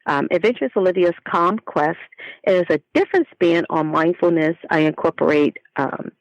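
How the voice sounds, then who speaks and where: A woman's voice: fairly low pitch, normal speed, lo-fi sound. A woman speaking as a children's author in an interview, explaining things thoughtfully in a conversational way.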